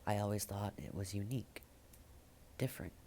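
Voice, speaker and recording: A man performing spoken-word slam poetry in a halting manner, with dramatic pauses, repeated phrases and hushed endings. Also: on the low side, gravelly, slight background noise. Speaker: slam poet.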